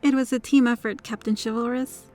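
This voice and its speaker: A woman playing a possessive superheroine with a hidden dark obsession in an ASMR roleplay, her manner controlled and teasing. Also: on the high side, steady tone, a little fast, faint music behind, lightly acted. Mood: dutiful, noble. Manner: smug, flirtatious, controlled.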